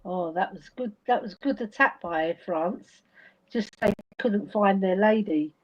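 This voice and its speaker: A woman's voice: mid-range voice, measured pace. A woman from England with an English accent, chatting casually and reacting as she watches a live football match. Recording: dull, lo-fi stream audio.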